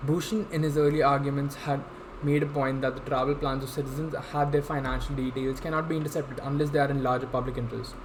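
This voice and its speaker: A young man with an Indian accent giving a formal, somewhat halting scripted explainer as a legal intern. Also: medium pitch, little pitch movement. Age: young adult. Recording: clearly noisy amateur room recording.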